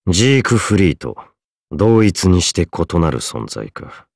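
A man's voice: low voice, sing-song, measured pace, darkish tone, dry recording, studio quality, exaggerated voice. A man playing a solemn, stoic warrior hero in a game, speaking in a stiff, formal, grave manner. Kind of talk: game character voice lines.

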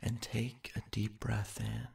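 A man softly narrating a sleep hypnosis meditation in a soothing, hushed, breathy voice with long, unhurried pauses. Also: fairly low pitch, flat delivery.

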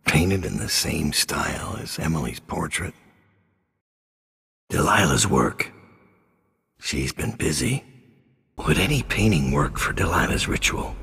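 Very deep, gravelly brooding assassin. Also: male voice, expressive, bright, forceful tone, heavily acted. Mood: musing. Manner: grim, deliberate, measured. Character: brooding, world-weary middle-aged male master assassin, menacing and remorseful. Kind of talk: video game voice acting, monologue and combat lines. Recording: long reverb, music underneath.

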